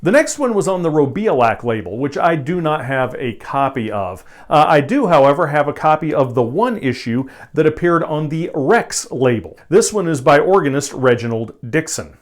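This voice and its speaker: A man's voice: a little high, animated, normal speed, hardly any pauses. A man presenting as a video host in an informal explainer style, with a wry, conversational manner. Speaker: video host and record collector.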